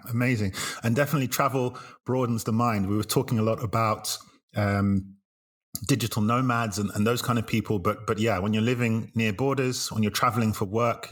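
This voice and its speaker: Lower-voiced man hosting a podcast. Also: a bit bright. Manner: chatty, friendly interviewer with fillers. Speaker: podcast host. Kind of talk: podcast interview.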